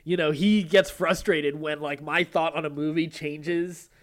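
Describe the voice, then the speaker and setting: Man, slightly high voice, casual podcast co-host, wordy, rambling, thinking aloud. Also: steady tone, unhurried. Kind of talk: casual conversational podcast chat.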